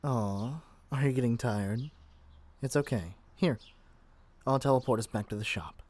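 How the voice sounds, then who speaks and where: A man's voice: mid-range voice, unhurried, darkish tone, lightly acted. A man playing a lovestruck, possessive young male witch in an ASMR roleplay, chatty and gushing with flustered hesitations. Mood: teasing, affectionate. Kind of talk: ASMR roleplay addressed to the listener.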